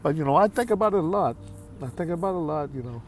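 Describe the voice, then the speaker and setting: A man in his late fifties talking candidly to his viewers in a halting, heartfelt way, with many pauses and repeated phrases. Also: a little high, animated, faint music behind. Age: 50s. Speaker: vlogger. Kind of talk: candid talk to camera.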